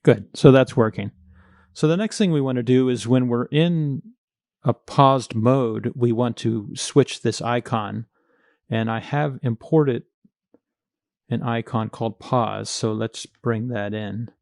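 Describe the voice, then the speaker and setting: Male coding instructor, mid-pitched with noticeable vocal fry, informal and hands-on, close dry sound. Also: slow, a little mellow, no echo. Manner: informal, thinking aloud while working. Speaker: programming tutorial instructor. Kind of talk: step-by-step coding screencast narration.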